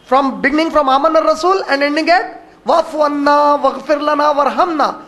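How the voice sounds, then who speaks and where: A man with a strikingly high voice delivers a religious lecture as a Quran teacher, his Urdu. He keeps a measured, deliberate pace for the teaching but speeds up through honorific phrases. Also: fairly bright tone. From India.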